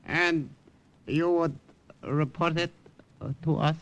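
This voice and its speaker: Slow-spoken, probing officer. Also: male voice, on the high side, fairly even intonation, fairly bright tone. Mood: guarded, probing. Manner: measured, questioning. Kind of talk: old-time radio drama acting. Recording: noisy vintage radio drama recording.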